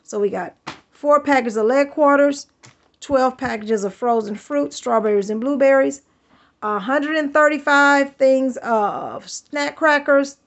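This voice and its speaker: A woman's voice: sits high, dull audio. A woman, chatting thankfully as she shows off groceries in her home kitchen, filmed on a handheld phone. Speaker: vlogger. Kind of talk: casual home vlog. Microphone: handheld phone camera.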